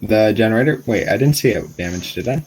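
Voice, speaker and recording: A man's voice: on the low side, lively intonation, fast, a little mellow, rough recording. A man playing a tabletop game, talking casually and jokingly with the group. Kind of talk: casual, jokey in-game banter. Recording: clear background noise.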